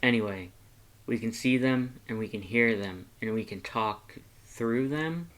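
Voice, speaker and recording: A man voicing a laid-back, gentle skeleton monster with a casual, understated way of talking, in a storybook reading. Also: medium pitch, a little slow, close to an everyday voice. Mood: earnest, tender.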